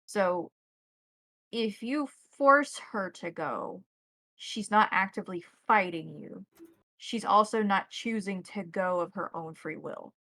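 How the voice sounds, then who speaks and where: A woman runs a tabletop roleplaying game, describing scenes and checking details with the players. Her voice sits at an average pitch, recorded very dry with no room sound. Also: slow pace, fairly bright tone, no echo. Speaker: game master.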